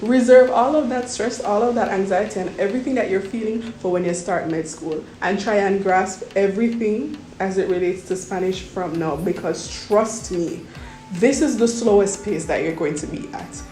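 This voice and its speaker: A woman's voice: medium pitch, normal speed, warm tone, roomy sound, soft background music, rough recording. A Jamaican woman, a medical student, giving earnest, reassuring advice to first-year students and repeating points for emphasis.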